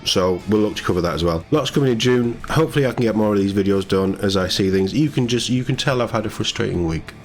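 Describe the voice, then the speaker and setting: A man's voice: lowish voice, fast, few pauses. A man giving blunt, exasperated, off-the-cuff opinions as the host of a gaming news video.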